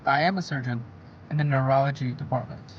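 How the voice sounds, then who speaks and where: A man playing a kind, patient surgeon in an audio drama, speaking in a gentle, hesitant, amused manner. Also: medium pitch, brisk, muffled recording, a little hiss or hum, natural voice. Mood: amused, kindly.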